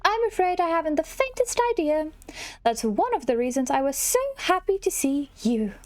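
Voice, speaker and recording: A woman voicing a kindly, forgetful elderly fairy godmother in a video game, gentle and hesitant, fussing as she goes. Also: high-pitched, very animated, normal speed, pitched above the performer's own voice. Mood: earnest.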